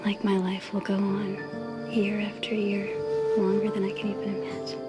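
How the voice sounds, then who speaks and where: An actress playing a hopeful, dreamy young woman in a TV soap, with a soft, breathy, wistful delivery. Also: medium pitch, monotone, normal speed, natural voice. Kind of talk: television soap opera acting. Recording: loud music over dialogue.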